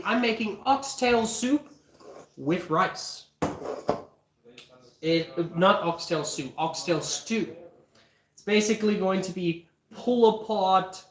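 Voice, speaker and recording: Man losing his voice, slow rambling livestream chat, throat-clearing, echoey kitchen, mic at a distance. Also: high-pitched, slight background noise. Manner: rambling, frequent throat-clearing, easy laughter. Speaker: livestreaming home cook.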